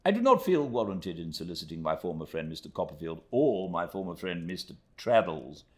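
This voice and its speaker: Man voicing a pompous middle-aged gentleman, florid, self-pitying, deep voice, grandly theatrical, storybook. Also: big pitch swings, fairly quick. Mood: pompous lament. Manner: grandiloquent, swelling and dropping theatrically.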